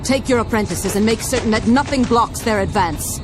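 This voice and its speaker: An actress playing a haughty, vengeful dark elf commander in a video game, imperious, menacing and theatrical. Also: female voice, a little high, fairly quick, music in the background, close to an everyday voice. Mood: cold, commanding. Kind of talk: scripted video game cutscene acting.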